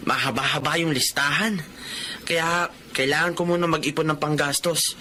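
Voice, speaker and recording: Earnest, bright-voiced young Filipino. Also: male voice, fairly high pitch, steady tone, normal speed, slight background noise. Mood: friendly. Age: young adult. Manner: earnest, sincere. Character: earnest, hurt young man telling his life story. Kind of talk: Filipino radio drama narration and dialogue.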